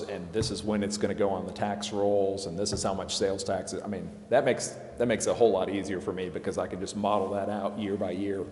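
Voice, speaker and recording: A man's voice: low-pitched, a little mellow. A man explaining things informally as an advisor at a public meeting. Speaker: consultant attorney advising a city council. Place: indoor council chamber. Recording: roomy echoing chamber with background noise.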